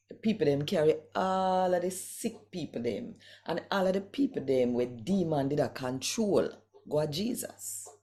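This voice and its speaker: A Jamaican woman hosting and reading scripture in a deliberate, expressive storytelling manner, with a devotional style. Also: sits low. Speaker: host and scripture reader. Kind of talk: devotional reading and channel greeting.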